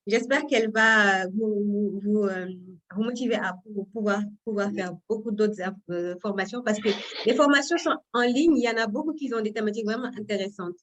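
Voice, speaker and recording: A woman's voice: mid-range voice, fairly quick, hardly any pauses, fairly bright tone, poor audio. A woman moderating an online training session over a video call, politely handing the floor to participants, with small hesitations. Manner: polite, hesitant, repeats words.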